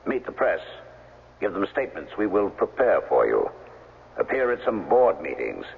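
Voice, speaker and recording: An actor playing a cold, domineering middle-aged crime boss in a 1970s radio drama, smooth, condescending and commanding. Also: male voice, sits low, big pitch swings, moderate pace, exaggerated voice. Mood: smooth persuasion. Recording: muffled vintage 1970s radio broadcast.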